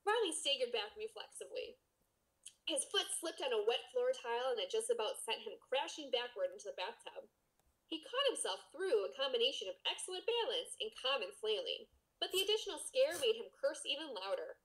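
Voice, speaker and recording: Lively, higher-pitched woman reading aloud. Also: a little fast, poor audio. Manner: playful, expressive. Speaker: podcast co-host reading a story aloud. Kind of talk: casual read-aloud with banter.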